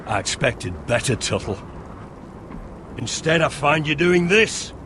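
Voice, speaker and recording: An actor playing a gruff, scornful drill master in a video game, commanding and scolding. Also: male voice, on the high side, animated, measured pace, background noise. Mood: stern, scornful. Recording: loud music behind.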